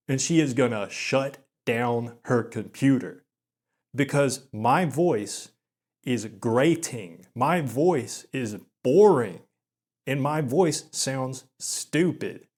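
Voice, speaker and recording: A young man with a mild Southern drawl talks slowly in a flat, monotone voice. He stammers and leans on filler words in a resigned, gloomy monologue. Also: mid-range voice. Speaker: video essayist. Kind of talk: self-deprecating monologue.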